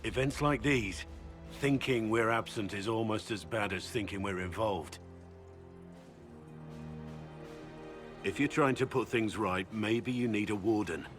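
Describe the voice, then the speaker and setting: Man acting a blunt, low-voiced seasoned warrior. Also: moderate pace, lightly acted. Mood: earnest, resolute. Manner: blunt, earnest, plain-spoken. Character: gruff, seasoned male warrior recruiter of an ancient order. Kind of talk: dramatic fantasy game dialogue. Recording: background music under the voice.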